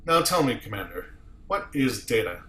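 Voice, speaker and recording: An eloquent, impassioned starship officer acted by a man pleads a case in a science fiction drama. His delivery is rhetorical and deliberate, in a mid-range voice. The room echoes noticeably around him. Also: medium pitch, very animated, fairly bright tone. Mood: probing, insistent. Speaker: amateur actor in a table read. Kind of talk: dramatic courtroom monologue.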